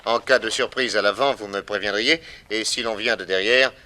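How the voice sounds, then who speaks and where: An actor playing a shrewd, brisk and cautious private detective in a French 1960s radio drama. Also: male voice, on the low side, bright, forceful tone. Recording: noisy old radio recording.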